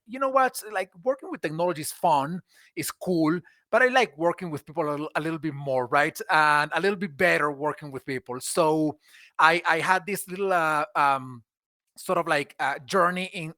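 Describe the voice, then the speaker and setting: Bright-voiced Venezuelan man, quick and eager. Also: on the high side, no room sound, studio quality. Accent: Venezuelan Spanish. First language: Spanish. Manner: fast, restarting often, many fillers. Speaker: guest, HR expert. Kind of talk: conversational interview.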